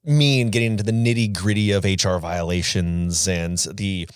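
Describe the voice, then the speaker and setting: A man's voice: sits low, fairly even intonation, moderate pace, clear, resonant tone, no echo, clean studio sound. A man co-hosting a podcast, joking and playful in casual chat. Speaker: podcast co-host.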